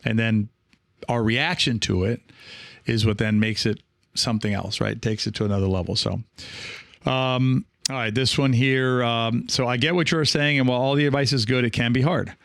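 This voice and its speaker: A man talking casually as a podcast host and coach, reflective and encouraging, halting now and then and often checking in with the listener. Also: mid-range voice, fairly even intonation, gravelly, a bit bright, dry recording, clean studio sound. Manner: reflective, halting, with frequent rhetorical check-ins.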